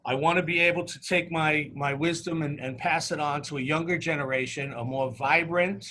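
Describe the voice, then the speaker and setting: A middle-aged man hosting a semi-formal campaign discussion, speaking in halting, repetitive phrases. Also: a little high, fairly even intonation, pushed and bright, a little hiss or hum. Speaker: talk show host and union candidate.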